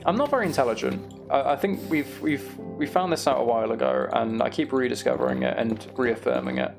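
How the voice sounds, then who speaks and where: A man's voice: medium pitch, very animated, fairly quick, gravelly, over music. A man giving casual, self-deprecating gameplay commentary, musing aloud as he goes. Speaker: gaming video host.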